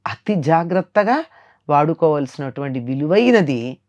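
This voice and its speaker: A woman's voice: low voice, lively intonation, moderate pace, a little mellow, dull audio. An older woman giving a moral discourse in conversation as a spiritual teacher, emphatic and repeating phrases to drive points home.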